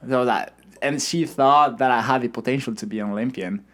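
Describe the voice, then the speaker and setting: Young man from Spain, relaxed, hesitant, casual interview guest, middle pitch. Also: fairly quick. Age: young adult. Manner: relaxed, hesitant, many fillers and restarts. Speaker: guest, Olympic swimmer.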